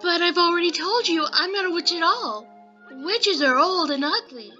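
A woman's voice: very high voice, lively intonation, normal speed, pushed and bright, dull audio, soft background music, full character voice. A woman voicing an earnest, innocent, emotional Kansas farm girl in a theatrical classic-film dub. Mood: earnest, puzzled. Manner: earnest, girlish, pleading.

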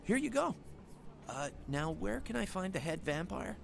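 A man's voice: sits high, very animated, normal speed, gravelly, noisy background, full character voice. An actor playing a timid, gullible young man in a video game, speaking hesitantly. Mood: hesitant, eager. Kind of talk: video game character dialogue.

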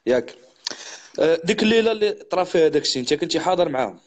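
A man with a Moroccan accent hosting a live stream, chatting quickly with viewers and a guest. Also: fairly high pitch, animated. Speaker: livestream host. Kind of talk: casual live chat and storytelling.

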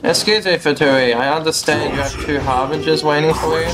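A man's voice: on the high side, fairly even intonation, brisk. A young man casually commentating on his own gameplay, talking to himself in a playful, mock-polite way. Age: young adult. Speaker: game streamer. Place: small room indoors at home. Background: game music.

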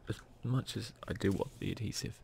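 A British man giving casual, hushed ASMR commentary on a video game, grumbling mildly as he plays. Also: fairly low pitch, brisk, gravelly, slight background noise. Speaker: gaming video host.

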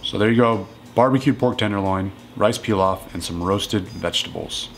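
A man in his thirties casually walking through home meals in his kitchen, relaxed and matter-of-fact. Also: on the low side, normal speed, vocal fry, soft background music. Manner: relaxed and matter-of-fact, plain step-by-step talk. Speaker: strength coach cooking at home. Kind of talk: casual cooking walkthrough.

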